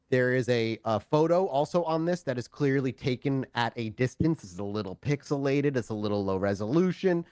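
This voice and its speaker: Halting male game master, close dry sound. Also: medium pitch, a little mellow, no echo. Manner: halting, conversational, self-correcting. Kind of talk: improvised narration of a tabletop roleplaying game.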